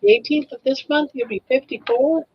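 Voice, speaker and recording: A woman's voice: high-pitched, normal speed, slightly rough, no room sound, muffled recording. A woman in her sixties hosting a casual live stream, chatting in a rambling way and restarting her sentences. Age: 60s.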